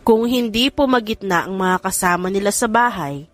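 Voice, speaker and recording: A Filipino woman narrates a scripted audio story. Her voice sits at a middle pitch for a woman. Faint music plays behind her reading. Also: animated, normal speed, a bit bright.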